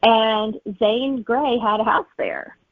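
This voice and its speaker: A woman's voice: a little high, fairly even intonation, takes it slowly, no echo. A woman author chatting casually as an interview guest, telling stories about her writing. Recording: tinny narrow-band telephone-like audio.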